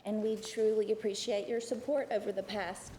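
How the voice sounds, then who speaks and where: A soft-spoken woman, a program director, giving a formal prepared presentation to a county committee. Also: medium pitch, fairly even intonation, normal speed, big-room sound, slight background noise. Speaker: executive director of a downtown program. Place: county committee meeting room.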